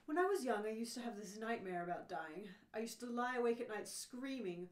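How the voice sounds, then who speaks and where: A woman's voice: on the high side, animated, fairly quick, close to an everyday voice. A woman reading the part of a bewildered, gloomy male space castaway in an amateur radio play. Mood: gloomy, puzzled. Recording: echoey room.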